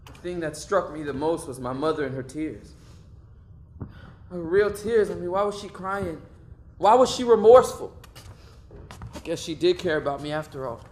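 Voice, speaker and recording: A man's voice: a little high, expressive, normal speed, long pauses, a little mellow, slight background noise, close to an everyday voice. An actor playing a young man who earnestly reflects on his path in life, speaking a long stage monologue. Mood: puzzled. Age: young adult. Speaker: lead actor in a stage play.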